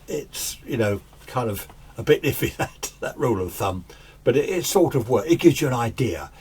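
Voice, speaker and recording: A man's voice: mid-range voice, lively intonation, moderate pace, darkish tone, breathy edge. A middle-aged man giving a casual, chatty, self-deprecating demonstration as a radio hobbyist, recorded on a camera's built-in microphone. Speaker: amateur radio hobbyist and host. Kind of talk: casual hands-on demonstration commentary. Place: home radio shack, indoors. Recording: camera microphone with hiss and background noise. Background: faint radio hiss and static.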